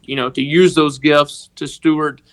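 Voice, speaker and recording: A man with a Mississippi accent, telling a personal story on a podcast in a halting, earnest way. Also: fairly high pitch, level tone. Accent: Southern US, Mississippi. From northeast Mississippi, United States. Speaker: podcast guest, organization member telling his story.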